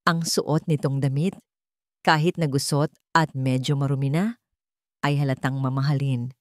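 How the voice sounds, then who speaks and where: A woman's voice: extremely low pitch, steady tone, moderate pace, dry recording, studio quality. A woman with a Filipino accent narrating a scripted dramatic story.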